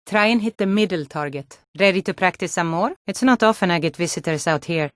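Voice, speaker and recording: A woman's voice: fairly low pitch, brisk, dry recording, clean studio sound, close to an everyday voice. A woman voicing a guarded, self-reliant young archery instructor in a video game, plain-spoken and composed. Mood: calm, instructive. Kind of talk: video game character dialogue.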